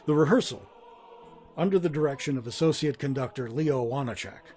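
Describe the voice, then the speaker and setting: A man narrating a scripted documentary as host, in a measured, formal and articulate manner. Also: mid-range voice, warm tone, faint music behind. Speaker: host and director of a radio theater.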